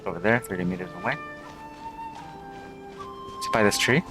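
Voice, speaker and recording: A man's voice: fairly low pitch, moderate pace, bright, forceful tone, soft background music. A man giving casual playthrough commentary as a game streamer.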